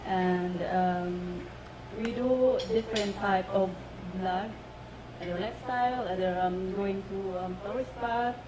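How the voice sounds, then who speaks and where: A woman vlogger hesitantly describing her channel, with many pauses and fillers. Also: mid-range voice, a crowd in the background. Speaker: vlogger, panelist. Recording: distant mic, audible room noise.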